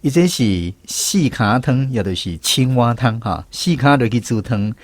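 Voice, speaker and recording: A man's voice: medium pitch, expressive, a little slow, dry recording. A man with a Taiwanese accent hosting a language lesson on the radio, guiding contributors through their turns.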